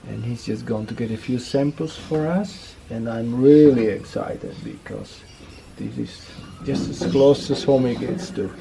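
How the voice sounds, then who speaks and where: A man's voice: medium pitch, very animated, measured pace, gravelly, few pauses, mellow, slight background noise, poor audio. A man from the French-speaking part of Switzerland with a Swiss accent, chatting enthusiastically on a visit to a small cheese factory. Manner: chatty, enthusiastic, switches between English and Swiss German. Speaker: vlogger's father, visiting guest. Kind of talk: casual chat on camera. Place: rural cheese factory in the bush.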